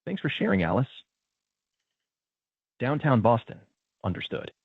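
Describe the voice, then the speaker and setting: Swooping, husky male automated phone agent. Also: medium pitch, big pitch swings, a little slow, dry recording. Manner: polite, procedural. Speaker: automated claim specialist agent. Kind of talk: scripted customer service dialogue. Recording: narrow tinny phone-like sound.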